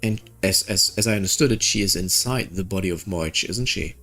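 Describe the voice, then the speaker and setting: A man's voice: sits low, a little fast, bright, forceful tone, faint music behind. A man hosting a game playthrough, thinking aloud and questioning as he reacts. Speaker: gaming video host. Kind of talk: game playthrough commentary, reading dialogue and reacting.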